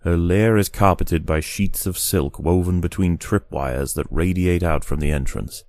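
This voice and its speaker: A man delivering scripted nature documentary narration in a solemn, deliberate, dramatic manner. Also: low voice, darkish tone, no echo. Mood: grave, ominous. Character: grave, measured male nature documentary narrator.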